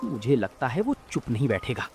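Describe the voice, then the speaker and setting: A woman voicing an honest, humble, good-natured male village food seller in an animated storybook tale. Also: extremely low pitch, fairly quick, soft background music, pitched below the performer's own voice, heavily acted. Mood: worried. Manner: earnest, conciliatory. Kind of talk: animated Hindi storybook dialogue.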